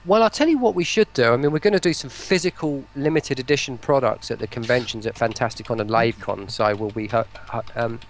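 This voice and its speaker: Man chatting casually with a creaky voice. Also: mid-range voice, fast talker, hardly any pauses, slight background noise. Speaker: guest, voice pack producer. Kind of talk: casual livestream chat.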